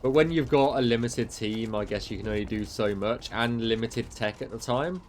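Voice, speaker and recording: A man's voice: medium pitch, moderate pace, slight background noise. A man, a game mod developer chatting casually on a livestream, rambling and answering chat with many fillers, his voice getting tired. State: losing his voice.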